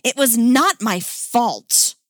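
Woman playing a melodramatic, high-pitched hammy big brother. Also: lively intonation, takes it slowly, a bit bright, dry recording, clean studio sound. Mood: dramatic betrayal.

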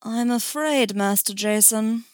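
Storybook manservant played by a female narrator, measured and polite with wry amusement, dry recording. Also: on the high side, dry recording, pitched above the performer's own voice. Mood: wry, courteous. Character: courteous, quietly amused male butler.

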